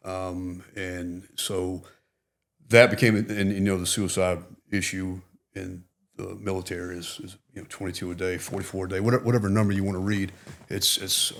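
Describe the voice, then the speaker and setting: Low, flat-voiced middle-aged man. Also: moderate pace. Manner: earnest, reflective, many "you know" fillers. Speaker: podcast guest, retired Marine. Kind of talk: casual interview.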